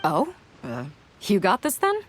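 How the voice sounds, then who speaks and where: A sociable young adventurer in a game. She is chatty and breezy, with very lively intonation and slight noise behind. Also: mid-range voice, measured pace. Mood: upbeat. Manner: casual, chatty. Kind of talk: video game voice acting.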